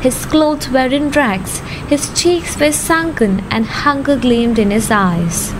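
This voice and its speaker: Narrator: young woman, fairly high voice, scripted storytelling, clear ambient noise behind. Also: takes it slowly. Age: young adult.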